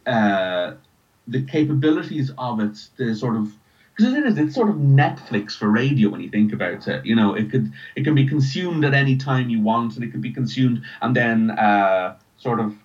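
A man's voice: medium pitch, animated, normal speed, muffled recording. An Irish man talking casually as an interview guest, hesitant, often repeating words and restarting his phrases. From Ireland. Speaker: writer and podcast creator, interview guest.

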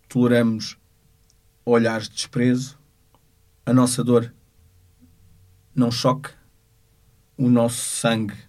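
Halting Portuguese man, even low-keyed delivery. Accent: European Portuguese. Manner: halting, measured, searching for words. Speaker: lawyer. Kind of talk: unscripted spoken account of a court case.

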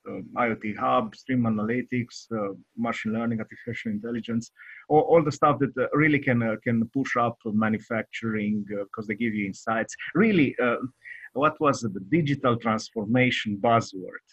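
Romanian-accented male guest, husky and hesitant, on dull dry remote audio. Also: medium pitch, fairly bright tone, dry recording. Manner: halting, many hesitations and restarts. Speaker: guest developer. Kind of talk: interview answers.

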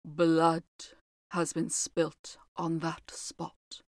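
A woman voicing a grim, mysterious old housekeeper who speaks low, slowly and in a hush. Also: steady tone, no echo, clean studio sound. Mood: ominous. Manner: low, slow, hushed.

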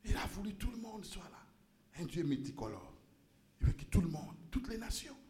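High-pitched guy preaching in church, gets shouty, stumbles on words, lots of room echo. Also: sing-song, moderate pace. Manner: impassioned preaching, rises to shouts and repeats words. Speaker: pastor. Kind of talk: sermon.

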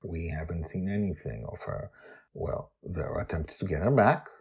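A man's voice: low voice, normal speed, gravelly, a little mellow, breathy edge. A man hosting a story podcast, talking informally and emphatically as he introduces and explains episodes.

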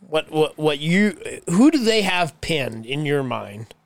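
A man's voice: on the high side, big pitch swings, slow pace, slight background noise. A man co-hosting a podcast, teasing his guests and swearing freely in crude, casual banter. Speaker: podcast co-host.

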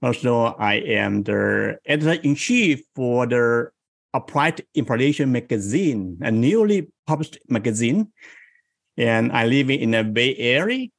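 A man in his forties from South China with a Chinese accent, a guest in an interview who tells stories in a slow, reflective way. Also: medium pitch, big pitch swings, vocal fry, no echo. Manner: slow, thoughtful, reflective storytelling. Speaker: guest, improv coach. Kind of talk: interview conversation.

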